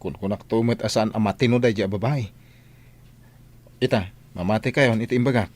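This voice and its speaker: Fast-talking Ilocano young boss played by an actor. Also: male voice, lowish voice, darkish tone, natural voice. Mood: firm. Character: impatient young wealthy male employer, lovelorn and determined. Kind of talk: Ilocano radio drama acting.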